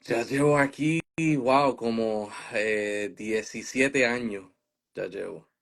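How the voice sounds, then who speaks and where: A young man from northern New Jersey, a guest pastor, answering questions in a relaxed, casual way. He slips English fillers into his speech. His voice sits at a middle pitch. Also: slow pace, gravelly. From northern New Jersey, USA. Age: young adult. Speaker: guest, pastor and worship leader.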